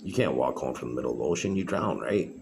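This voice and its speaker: Very deep-voiced man rambling on camera. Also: expressive, a little mellow. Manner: rambling, halting, many fillers and restarts. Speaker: vlogger. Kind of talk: rambling solo monologue to camera. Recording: clear background noise.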